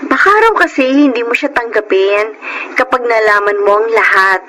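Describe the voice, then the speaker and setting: Animated, chatty young Filipino woman. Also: on the high side, moderate pace, a little hiss or hum, poor audio. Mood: confiding. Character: chatty, teasing young woman teacher, loyal sister. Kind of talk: radio drama acting.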